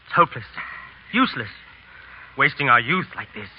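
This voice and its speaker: An actor playing a young, starving French painter, complaining bitterly and theatrically in 1930s radio style. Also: male voice, mid-range voice, lively intonation, normal speed, natural voice. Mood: despairing. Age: young adult. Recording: lo-fi noisy 1930s radio broadcast.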